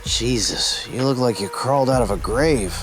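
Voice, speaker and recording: An actor playing a seedy, washed-up ex-wrestler who drinks and smokes, in noir audio drama dialogue. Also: male voice, medium pitch, normal speed, music in the background, natural voice. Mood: uneasy, weary.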